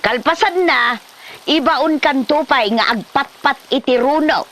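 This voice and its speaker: A woman's voice: high voice, a little slow, fairly bright tone. A woman with a Filipino Ilocano accent playing a bossy aunt who scolds and hands out chores in a comedy drama. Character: bossy aunt handing chores to lazy man.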